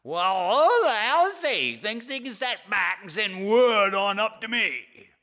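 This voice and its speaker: A hot-headed fisherman, played by a man shouts his complaints in a shrill, raised voice. The tone is bright and crackling, and he never calms down. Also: slow pace, heavily acted. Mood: indignant. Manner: blustery, indignant. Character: fisherman Charlie, hot-headed, high-pitched.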